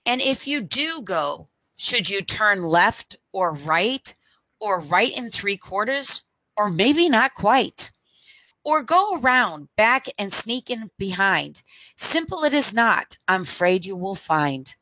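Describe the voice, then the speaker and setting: A middle-aged woman reading a picture book aloud for children at storytime, phrase by deliberate phrase, into a headset microphone. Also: medium pitch, very animated, bright, forceful tone, no room sound, rough recording.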